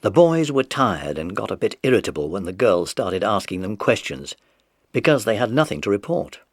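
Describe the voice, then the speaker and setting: A man's voice: lowish voice, big pitch swings, moderate pace, no room sound, studio quality. A man narrating a children's mystery story in a scripted, dramatized audiobook.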